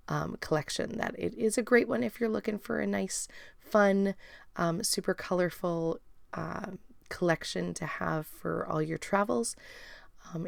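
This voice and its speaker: A woman with a raspy, strained voice talks through her scrapbook page in a casual voiceover, with plenty of ums and uhs. Her voice sits fairly low and has a heavy gravelly fry. It is recorded close and dry, with no room sound at all. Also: no room sound. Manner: relaxed, chatty, many ums and uhs. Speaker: scrapbooking video host. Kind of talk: casual voiceover narration of a craft process.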